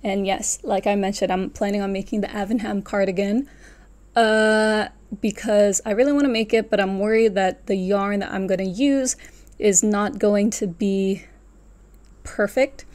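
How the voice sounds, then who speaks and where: A woman's voice: mid-range voice, fairly even intonation, normal speed, darkish tone. A woman in her twenties chatting casually to camera as a vlogger, rambling and correcting herself as she goes. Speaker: knitting vlogger. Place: small furnished room at home, indoors.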